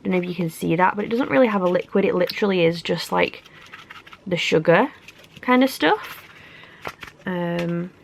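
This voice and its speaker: A woman's voice: lowish voice, normal speed, vocal fry, pauses often, slight background noise. A young woman from England with a stuffy, congested cold, chatting casually through an unboxing with lots of likes and ums. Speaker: beauty vlogger.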